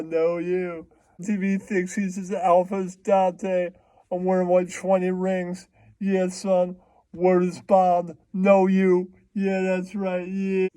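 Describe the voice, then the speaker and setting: A man's voice: high-pitched, level tone, takes it slowly, mellow, dry recording. A man voicing a slangy, boastful, foul-mouthed puppet who repeats catchphrases in comic puppet show banter. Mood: boastful, joking.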